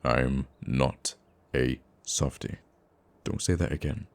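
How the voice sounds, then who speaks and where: A man playing a gruff, teasing supervillain with a secret soft side in an intimate ASMR roleplay, dry and grumbling but affectionate. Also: slow pace, full character voice. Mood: grumbling, flustered. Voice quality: very deep, gravelly.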